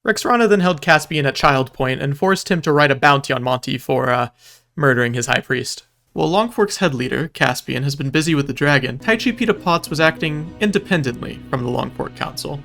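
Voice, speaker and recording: A man's voice: fairly high pitch, lively intonation, a little fast, gravelly, a bit bright, soft background music. A man reading scripted recap narration in a storytelling style, with dramatic delivery and wry asides. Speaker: video narrator recapping a roleplay game event.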